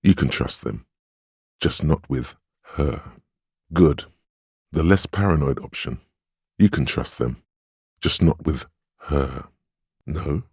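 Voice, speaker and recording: An actor playing a stern, weary inner voice of willpower in a video game, speaking in a deliberate, grave, admonishing way with wry, protective authority. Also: male voice, very deep voice, darkish tone, breathy edge, no room sound, studio quality, full character voice. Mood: grave, cautioning. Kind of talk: dramatic second-person game narration and inner-voice dialogue.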